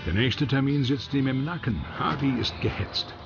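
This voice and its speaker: A man reading scripted documentary narration in a lively voice over music. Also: medium pitch, normal speed, gravelly, lo-fi sound.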